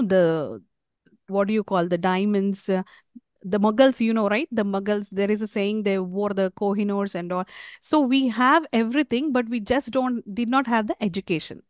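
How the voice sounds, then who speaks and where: A woman with an Indian English accent teaching an online class, halting with many fillers and asking questions. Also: mid-range voice, clear, resonant tone, no echo, studio quality.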